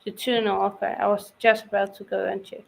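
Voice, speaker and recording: A woman on a football watch-along who reacts with exclamations at the match and teasingly hushes her co-hosts. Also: medium pitch, flat delivery, a little fast, gravelly, a little hiss or hum. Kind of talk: casual chat with match reactions.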